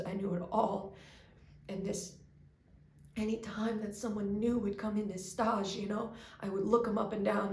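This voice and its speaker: A woman performing a dramatic monologue as a grieving, self-doubting young cook, speaking in a halting, confessional way. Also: medium pitch, a little mellow, close to an everyday voice. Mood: intense, driven. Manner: halting, hesitant, confessional, with stammered restarts. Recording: echoey room, clear background noise.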